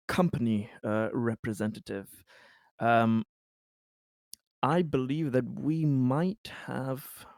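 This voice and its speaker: A man playing a tabletop roleplaying game, improvising an advisory report in a hesitant, halting manner. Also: medium pitch, darkish tone, no room sound, clean studio sound.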